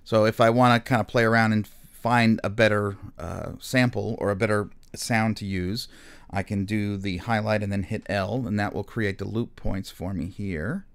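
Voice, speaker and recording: A man instructing in music software in a casual, unscripted and slightly hesitant explanatory way, as a screen tutorial. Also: on the low side, steady tone, moderate pace, gravelly, clean tone.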